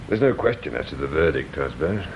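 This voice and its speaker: An actor playing a measured, wryly sceptical police inspector in a radio detective drama. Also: male voice, very deep voice, very animated, heavily acted. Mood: wry, questioning. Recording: dull, slightly noisy radio recording.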